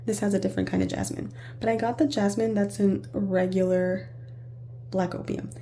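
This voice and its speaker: A hoarse woman with a raspy, worn voice that sits dark and mellow, talking casually through a perfume review. She rambles and corrects herself as she goes, with a faint hum behind her. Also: mid-range voice, steady tone, moderate pace. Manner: chatty, rambling with self-corrections.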